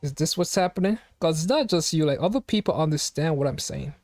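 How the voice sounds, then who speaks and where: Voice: mid-range voice, expressive, brisk, a little mellow, no room sound, clean studio sound. A live streamer chatting casually to viewers, rambling and firing off questions to chat. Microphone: home streaming microphone.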